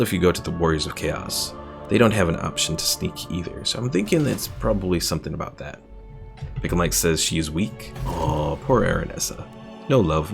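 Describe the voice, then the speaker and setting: A man streaming a strategy game, chatting casually, thinking out loud and reading chat messages. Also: sits low, gravelly. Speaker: gaming streamer. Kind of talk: casual gameplay commentary.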